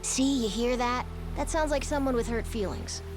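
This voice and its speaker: A woman's voice: on the high side, moderate pace, music in the background, close to an everyday voice. A woman voicing a cheeky, outspoken young sidekick of a pirate captain, informal in manner. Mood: chiding. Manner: informal, chiding.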